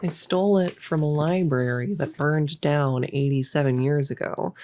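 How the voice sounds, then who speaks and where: A very low, creaky-voiced young cultist played by a woman in an intimate audio roleplay. She is bossy and possessive, with a giddy, playful smugness, and rambles casually as if thinking aloud. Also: moderate pace, warm tone, a little hiss or hum. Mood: rambling, smug explaining. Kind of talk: intimate roleplay audio, improvised-sounding monologue.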